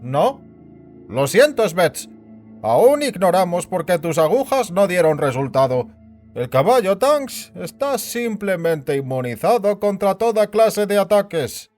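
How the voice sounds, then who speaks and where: A high, very animated voice for a scheming, melancholy boss acted by a man in an audio drama. He is bossy and worried, delivering lines with deliberate theatrical authority. Music plays underneath. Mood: matter-of-fact. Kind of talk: scripted Spanish audio drama acting. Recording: music bed behind the voice.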